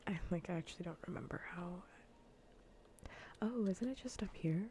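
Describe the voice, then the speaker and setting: Female ASMR gaming streamer: low, gravelly murmur slipping into breathy whisper, casual and unhurried. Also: mellow, a little hiss or hum. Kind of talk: ASMR gaming livestream chat, soft whispering.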